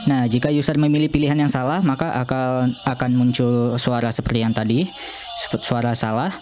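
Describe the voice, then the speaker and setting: A young man narrating an informal software demo as a student, speaking haltingly and repeating himself. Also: medium pitch, little pitch movement, over music. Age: young adult. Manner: halting, repetitive. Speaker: student demonstrating own app.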